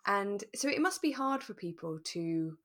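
A woman's voice: mid-range voice, big pitch swings, a little slow, fairly bright tone. A woman co-hosting a podcast, chatting casually and reflectively as she reviews a radio drama. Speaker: podcast co-host.

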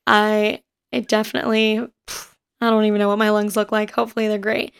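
A woman in her twenties with a raspy voice, hosting a casual podcast and rambling quickly through personal stories. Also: medium pitch, flat delivery. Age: 20s. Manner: fast-talking and rambling. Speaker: podcast host. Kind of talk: casual chat. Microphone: home recording setup.

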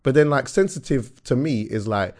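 A man's voice: mid-range voice, lively intonation, moderate pace, darkish tone. A man chatting casually on a podcast, rambling and full of fillers like "bro" and "like". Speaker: podcast host. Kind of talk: casual chat.

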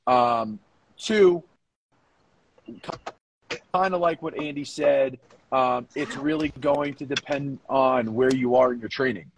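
A man's voice: medium pitch, takes it slowly, pauses often, background noise. A man co-hosting a podcast in casual discussion, giving coaching opinions and repeating his points for emphasis.

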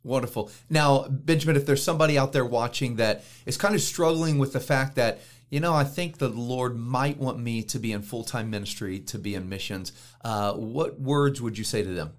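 A man hosts a casual church interview in a friendly way, answering each reply with a quick affirmation. His voice sits at an ordinary middle pitch for a man. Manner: friendly, frequent "amen" affirmations. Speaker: interview host.

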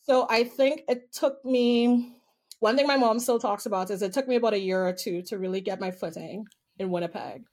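A woman's voice: a little high, normal speed, a little mellow. A woman from Trinidad with a Trinidadian accent, a guest telling personal stories in an interview in a candid, amused way and drawing out key words.

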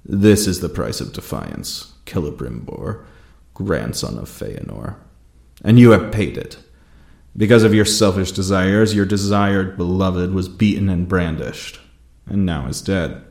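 Man voicing a deep, gravelly, echoing dark lord. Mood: menacing, wrathful. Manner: grandiose, slow, deliberate declamation. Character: cruel, gloating dark lord, commanding and menacing.

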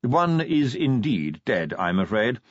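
A man voicing an unflappable formal butler, deferential, smooth and poker-faced in an audiobook story. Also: mid-range voice, sing-song, normal speed, dry recording, dull audio, voice pushed up. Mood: poker-faced, mild.

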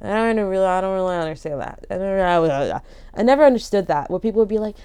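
A woman's voice: mid-range voice, quick pace, clean tone. An African-born young woman minister telling her own experiences in a casual, emphatic way, often restarting her sentences. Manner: emphatic, animated storytelling with frequent restarts. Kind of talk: casual personal testimony to camera.

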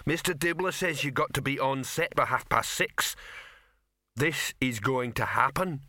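A big simple-minded troll, acted by a male narrator for a comic storybook. He plods through each phrase very slowly and bluntly, dutiful and repetitive, with a forceful edge. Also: medium pitch, no echo, clean studio sound, voice pushed up. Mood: dutiful, insistent.

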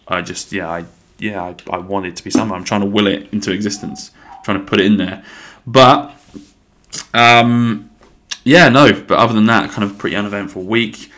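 A man's voice: on the low side, a bit bright. A man hosting his own podcast in casual solo chat, rambling and swearing freely, sounding a little bunged up. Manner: rambling, swears casually, many ums and restarts. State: a bit bunged up. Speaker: podcast host.

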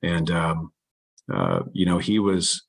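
A man who is a coach and author, speaking as an interview guest in an earnest, halting way with many restarts and a loud voice. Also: low-pitched, big pitch swings, gravelly, husky. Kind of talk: reflective interview talk.

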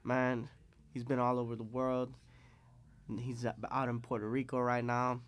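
A man's voice: mid-range voice, level tone, clear, resonant tone. Casual male podcast host. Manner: friendly street-slang host, quick asides.

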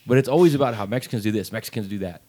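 A man's voice: on the low side, very fast, mellow. A middle-aged man from Texas, co-hosting a podcast. He speaks earnestly in casual chat and often repeats words as he starts a sentence. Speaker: podcast co-host.

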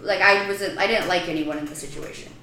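A woman's voice: lowish voice, roomy sound, a crowd in the background. A woman in her thirties from Chicago with a Chicago accent, a comedian guest on a podcast, joking crudely and bluntly. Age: 30s. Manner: brash, crude joking, quick laughs. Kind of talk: casual podcast chat.

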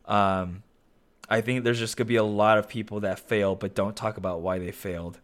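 A young man hosting a solo podcast, talking casually with lots of fillers and self-corrections, his voice slightly raspy. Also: fairly low pitch. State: slightly raspy voice with occasional coughing. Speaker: podcast host.

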